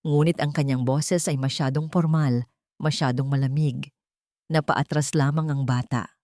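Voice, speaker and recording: Mellow, even-toned woman storyteller. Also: deep voice, measured pace, dry recording, clean studio sound. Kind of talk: dramatic scripted story narration.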